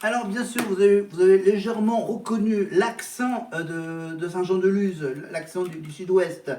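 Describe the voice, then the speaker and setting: High-pitched man, casual vlog talk, halting with many restarts, roomy echo, distant from the mic. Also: fairly even intonation, a little mellow. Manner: hesitant, repeats words and restarts often. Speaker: vlogger. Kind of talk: casual chat to camera. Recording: echoey room, voice from off mic.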